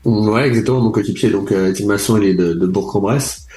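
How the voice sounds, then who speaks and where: Man, young adult, very low voice, halting speech full of fillers, echoey room. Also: dark tone. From France, raised in Charente from age four. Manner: hesitant, many fillers and restarts. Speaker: endurance motorcycle racer being interviewed. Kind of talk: casual interview answers. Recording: roomy, echoey sound.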